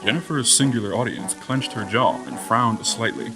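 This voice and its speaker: A man's voice: medium pitch, normal speed, poor audio. A man reading scripted narration for an audio drama. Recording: music under the voice.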